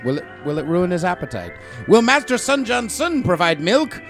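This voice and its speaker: A melodramatic serial announcer, played by a man, high-pitched and very animated. He narrates mock cliffhangers in a theatrical, digressive way, with music behind him. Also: moderate pace, heavily acted. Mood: mock suspense. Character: melodramatic serial announcer with rambling comic questions.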